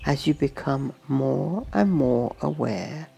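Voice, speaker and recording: A woman guiding a meditation, speaking gently in short, spaced-out instructions. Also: a bass voice, expressive, vocal fry. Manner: gentle, unhurried instructions in short phrases with long pauses. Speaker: meditation guide. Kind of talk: slow guided meditation narration. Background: music and nature sounds.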